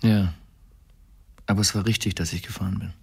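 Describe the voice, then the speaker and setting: A man playing an exuberant, sentimental and teasing bon vivant in an expressive radio drama performance. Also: sits low, fairly quick, creaky, husky, full character voice.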